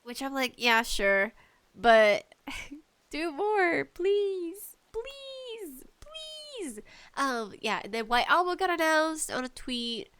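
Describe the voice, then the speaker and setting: Woman, fairly high pitch, congested nasal tone, casual solo podcast host, animated, rambling delivery, self-deprecating. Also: slow.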